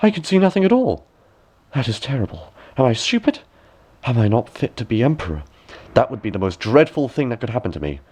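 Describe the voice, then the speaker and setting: A man's voice: medium pitch, very animated, fairly quick, darkish tone, breathy edge, pitched above the performer's own voice. A man voicing a vain, pompous storybook emperor who exclaims anxiously and with exaggerated false delight. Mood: alarmed.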